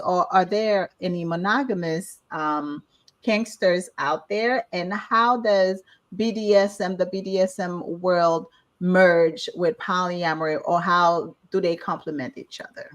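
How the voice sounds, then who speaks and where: A woman hosts a relaxed podcast conversation and puts questions to her guest. She talks in a measured way with a slightly low voice. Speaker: podcast host.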